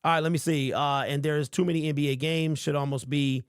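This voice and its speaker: A man in his forties hosting a casual sports talk show, reading viewer comments and rambling with lively emphasis. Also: a little high, fairly quick, clear, resonant tone, no echo, clean studio sound. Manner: animated, rambling, calls listeners bro. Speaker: sports podcast host.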